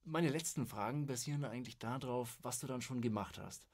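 A man's voice: medium pitch, a little fast. A man hosting a podcast and asking questions in a casual chat. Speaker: podcast host, interviewer.

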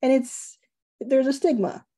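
A woman's voice: high voice, a little slow, mellow. A middle-aged woman with a Texan accent hosting a casual video call interview, curious and enthusiastic. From Texas. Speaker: interview host. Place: home room indoors. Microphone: webcam, video call.

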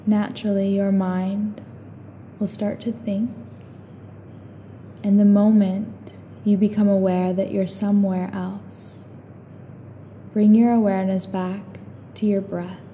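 A woman leads a guided meditation with a very mellow, relaxed voice. She speaks slowly and evenly, with little rise or fall in pitch. Her delivery is calm and soothing, with long pauses between gentle instructions. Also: a little hiss or hum.

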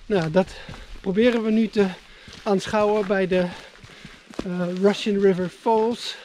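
A man's voice: sits high, fairly even intonation, measured pace, darkish tone, slight background noise. A man talking casually to the camera outdoors on a road trip, chatty and hesitant with fillers. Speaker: travel vlogger. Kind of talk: casual travel vlog talk to camera. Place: outdoors by a river.

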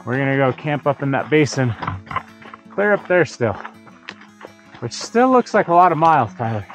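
A man's voice: medium pitch, sing-song, normal speed, soft background music, poor audio. A man in his thirties, a hiker, narrating a casual vlog outdoors. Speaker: hiker and vlogger. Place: outdoors in open mountains.

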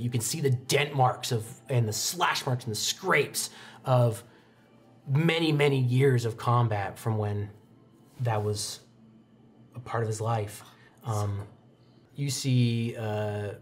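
A young man improvising descriptive tabletop roleplay narration as game master, halting now and then to find his words. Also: medium pitch. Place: indoor studio set, seated at a desk. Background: music.

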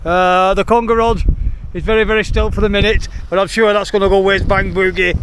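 A man's voice: high voice, level tone, fairly quick, a bit bright. A man hosts a casual outdoor fishing vlog, chatting to viewers in a jokey, playful, slangy way. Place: outdoors on a sea breakwater at night. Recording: noisy outdoor recording on a waterside.

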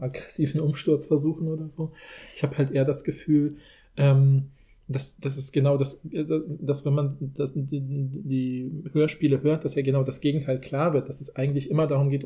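A man's voice: medium pitch, warm tone. A man hosting a conversational podcast discussion, wordy and searching, often rephrasing himself as he goes. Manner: searching, self-correcting, wordy. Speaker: podcast host.

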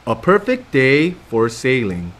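A man's voice: mid-range voice, big pitch swings, takes it slowly. A man in his thirties hosting a children's storytime, reading aloud expressively and speaking directly to young listeners.

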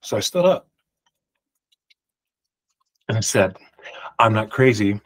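Husky-voiced man telling a rambling story. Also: on the low side, lively intonation, slow, low-quality recording. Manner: rambling, emphatic, loud projection. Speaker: vlogger. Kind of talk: personal storytelling to camera. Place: home room with pictures on the walls. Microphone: large studio mic beside him.

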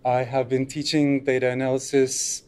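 A man's voice: medium pitch, flat delivery, normal speed, darkish tone, smooth. A middle-aged man from Turkey giving a conference talk at a lectern, loose and unscripted, with frequent fillers. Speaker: conference speaker. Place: lecture room, at a lectern.

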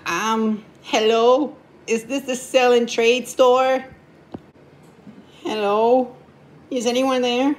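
A girl voicing a broke, scheming male plush character in a home toy video, talking quickly. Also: a little high, fairly even intonation. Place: home bedroom.

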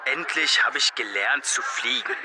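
A man's voice: mid-range voice, moderate pace, lightly acted. Jeering teenage bully played by a man, very noisy clip. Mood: mock-solemn. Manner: mocking, theatrical reading aloud. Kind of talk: audio drama acting. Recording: very noisy phone video clip.

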